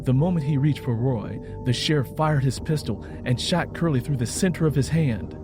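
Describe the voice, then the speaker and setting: A man's voice: mid-range voice, normal speed. A man narrating a scripted story in a dramatic style. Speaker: author and narrator. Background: music.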